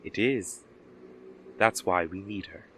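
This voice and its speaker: A man playing a calm, measured official in an audio drama. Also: low-pitched, slight background noise. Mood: calm, businesslike.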